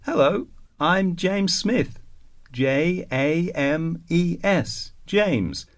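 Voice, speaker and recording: Male reader for a textbook listening track, unhurried pace, lively rise and fall. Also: a little high. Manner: slow, careful, clearly enunciated. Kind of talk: scripted textbook listening exercise reading.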